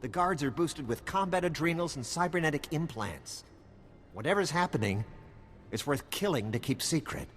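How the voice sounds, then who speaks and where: An actor playing a streetwise, wary and wry private investigator in a video game cutscene. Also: male voice, a little high, expressive, moderate pace, a bit bright, long reverb tail, a little hiss or hum. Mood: wary, urgent.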